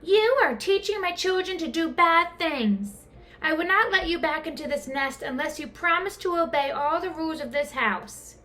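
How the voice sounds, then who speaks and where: A woman's voice: very high-pitched, animated, moderate pace, sharp tone, voice pushed up, heavily acted. A woman voicing a strict, exasperated mother bird in a children's storybook, bossy and exclaiming. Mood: stern.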